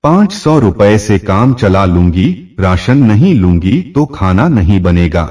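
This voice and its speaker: A man reading the part of a poor young woman in a story, resigned, deferential and quietly pragmatic. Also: lowish voice, big pitch swings, unhurried, far from the mic. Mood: hesitant pleading.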